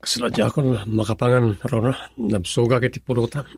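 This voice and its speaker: A man playing a tipsy, callous, bitter husband in an Ilocano radio drama, with a husky voice. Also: medium pitch, fairly even intonation, a little fast, darkish tone, no echo. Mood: dismissive.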